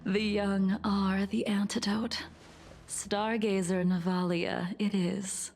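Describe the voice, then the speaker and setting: A woman voicing a serene, world-weary ancient swordswoman in a video game, soft and breathy, with a measured, formal, wistful manner. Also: mid-range voice, level tone. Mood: gently amused. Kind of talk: video game character acting.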